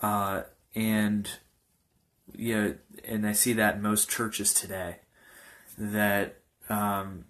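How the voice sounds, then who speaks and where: A man in his early twenties, a pastor giving an informal personal testimony in a halting, loosely rambling way. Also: lowish voice, level tone, a bit bright. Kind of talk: informal testimony talk to camera.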